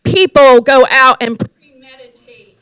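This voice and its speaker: A woman from the US East Coast, speaking loudly and emphatically as a public commenter at a county board meeting. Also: high voice, expressive, a little slow, smooth, dry recording, rough recording. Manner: loud, emphatic, forceful delivery.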